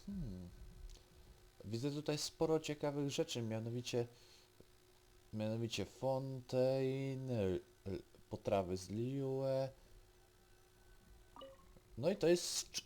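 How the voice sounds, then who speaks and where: Polish guy doing a lets play, mid voice, talks slow and halting, kinda mutters. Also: medium pitch, mellow. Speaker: gaming streamer. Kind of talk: casual let's-play commentary.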